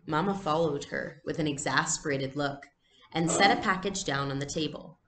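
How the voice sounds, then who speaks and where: A woman reading a storybook aloud as a teacher, in an expressive style with explanations. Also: sits low, normal speed, echoey room, background noise.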